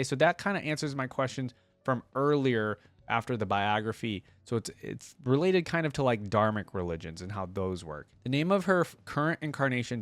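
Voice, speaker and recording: Male reaction creator, mid-pitched voice, casual and conversational, thinking out loud, very dry recording. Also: dry recording. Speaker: video creator reacting to game lore and skins. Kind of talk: casual reaction commentary with some reading aloud.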